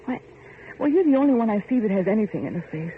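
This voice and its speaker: An actor playing an idealistic, insistent factory heir in an old radio horror drama, with an earnest, pleading and commanding manner. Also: male voice, high voice, very animated, quick pace. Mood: earnest coaxing. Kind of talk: 1940s radio horror acting. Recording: muffled old radio recording, faint hiss.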